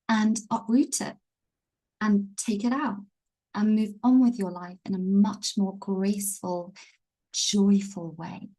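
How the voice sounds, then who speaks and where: A woman hosting a live online healing webinar, easygoing and gently encouraging, speaking over a video call. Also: mid-range voice, poor audio. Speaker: webinar host and healing teacher.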